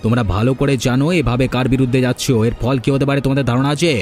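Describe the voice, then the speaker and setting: A man playing a village boss's henchman in a folk-tale cartoon, pushy and quick to complain. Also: mid-range voice, level tone, a little mellow, faint music behind, natural voice. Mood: menacing. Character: village boss's henchman, threatening, then aggrieved and defiant.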